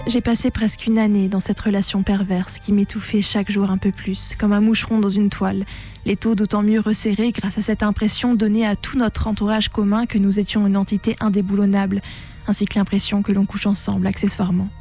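A young woman reading a scripted personal testimony in a measured, composed, literary way. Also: mid-range voice, little pitch movement, dark tone, soft background music. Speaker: narrator of a personal testimony on a radio show. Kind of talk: scripted first-person narration, literary testimony read aloud.

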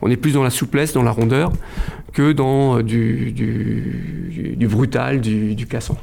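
A man's voice: medium pitch, fairly even intonation, measured pace, creaky, dark tone. A middle-aged man from France, a kung fu club president, speaking hesitantly in a casual radio interview, with many fillers and restarts.

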